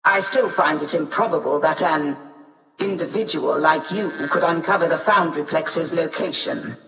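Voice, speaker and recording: A woman's voice: low voice, moderate pace, a bit bright. An actress voicing a haughty, disdainful female tech-priest of a machine cult in a video game, with a formal, imperious manner. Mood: cold suspicion. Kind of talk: video game character acting. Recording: distant, echoing, long reverb.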